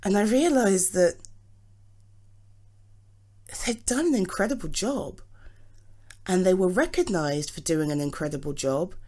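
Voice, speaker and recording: Unhurried, encouraging British girlfriend, played by a woman. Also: fairly low pitch, expressive, a little mellow. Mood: reflective. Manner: encouraging, earnest, emphatic on key words. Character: supportive, affectionate British girlfriend reassuring her partner. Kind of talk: ASMR roleplay, intimate one-sided conversation.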